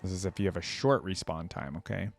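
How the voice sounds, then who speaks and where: A man's voice: sits low, fast talker, creaky. A man hosting a casual reaction video, commenting on game voice lines in a musing way and repeating phrases to himself. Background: music.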